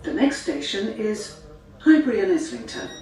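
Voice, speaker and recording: A woman's voice: mid-range voice, sing-song, normal speed, a little mellow, recorded from a distance, faint music behind. A woman reading scripted station announcements, heard through a train's PA speaker. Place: inside a train carriage. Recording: very echoey, noisy train PA from afar.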